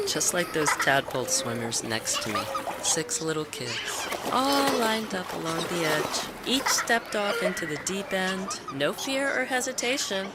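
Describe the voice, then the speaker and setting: A woman's voice: fairly low pitch, heavy background noise. An actor playing an anxious, grieving, self-mocking woman in her forties, confiding in a conversational audio drama monologue. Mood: wistful. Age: 40s. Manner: confiding, self-deprecating, emphatic.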